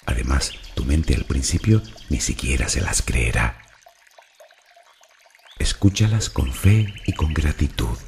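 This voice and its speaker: Very deep, gravelly man guiding meditation. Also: expressive, a little mellow, slight background noise. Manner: soothing, measured, deliberate. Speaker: guided meditation narrator. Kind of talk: slow, calm guided meditation with affirmations.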